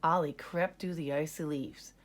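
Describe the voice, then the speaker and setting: A woman narrating a children's story in an expressive read-aloud style. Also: low-pitched, moderate pace.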